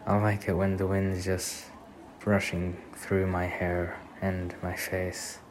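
A man acting as a devoted young boyfriend speaks in a very low, soft voice. His delivery is intimate and unhurried, protective and tender, in the style of an ASMR date roleplay. Clear ambient noise sits behind the voice. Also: monotone. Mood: tender, wistful. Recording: clearly noisy recording with ambient sound.

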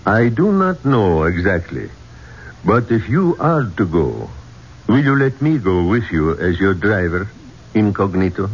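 An actor playing a formal, probing police inspector in a 1970s radio drama, questioning in a measured way. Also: male voice, on the low side, very animated, breathy edge, heavily acted. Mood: cautious. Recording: dull, noisy 1970s radio recording.

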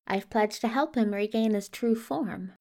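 A woman's voice: fairly high pitch, unhurried, a little mellow. A woman voicing an anxious, courtly male messenger who speaks with fussy, flustered politeness, eager to please, in an audio drama. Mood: earnest. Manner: flustered, placating, genteel phrasing. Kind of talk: multivoice podfic audio drama acting.

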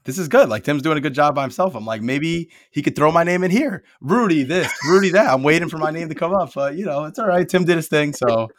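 A man's voice: on the high side, expressive, quick pace, hardly any pauses. Joking male podcast co-host. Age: 30s. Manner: joking, laughs often. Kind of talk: casual podcast chat.

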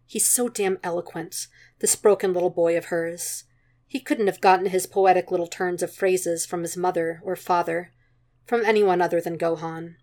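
A woman's voice: fairly low pitch, steady tone, moderate pace, darkish tone. A woman reading a story aloud as scripted narration, in the manner of an amateur audiobook. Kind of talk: scripted narration of fan fiction.

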